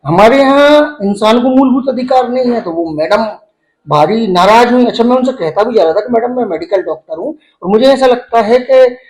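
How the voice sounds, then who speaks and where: A middle-aged man explains his grievance in an interview. He is halting yet emphatic, dropping English words into his sentences. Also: very high-pitched, darkish tone. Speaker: medical professor giving an interview.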